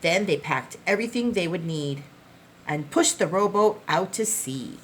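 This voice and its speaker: A woman reading a picture book aloud to young children as a preschool teacher, expressively, with deliberate, dramatic phrasing. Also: fairly low pitch, animated, slight background noise.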